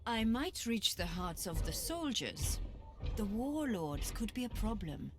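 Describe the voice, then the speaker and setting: A resolute lady knight, speaks with earnest composure in a game cutscene. Her voice sits at a medium pitch over prominent music. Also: very animated, measured pace. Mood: calm. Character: earnest, resolute female knight pleading for peace. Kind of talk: video game cutscene acting.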